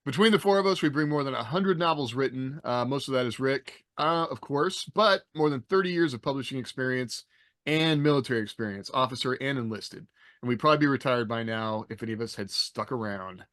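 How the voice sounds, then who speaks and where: A man's voice: medium pitch, brisk, a bit bright, no echo, studio quality. A middle-aged man hosting a podcast in a casual panel style. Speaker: podcast host. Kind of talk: casual panel talk with rehearsed introductions.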